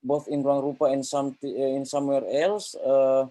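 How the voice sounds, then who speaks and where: A man with an Indonesian accent informally introducing an online talk as host, speaking haltingly as he searches for words. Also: medium pitch, little pitch movement, mellow, dry recording. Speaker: event host giving introductions.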